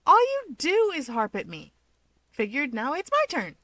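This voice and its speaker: A woman's voice: high-pitched, huge pitch swings, unhurried, pushed and bright, dry recording, voice pushed up, exaggerated voice. A woman voicing an earnest, teasing young man who keeps pushing in a casual way.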